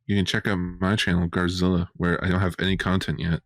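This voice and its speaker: A man's voice: sits low, level tone, brisk, fairly bright tone, no echo, studio quality. A man co-hosting a podcast with casual, jokey and irreverent banter. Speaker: podcast co-host.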